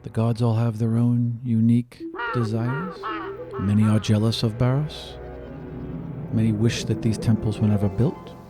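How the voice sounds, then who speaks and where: A man playing a grave, guarded temple teacher, a woman who speaks in measured, terse, formal phrases in a tabletop roleplaying game. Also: fairly low pitch, level tone, music in the background, lightly acted. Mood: grave, cryptic.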